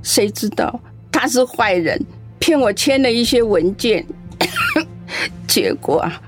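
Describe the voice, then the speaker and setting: A gentle, trusting old grandma. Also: female voice, on the high side, animated, soft background music. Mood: tearful. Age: older. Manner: slow, gentle, grandmotherly; tearful when upset. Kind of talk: radio play acting.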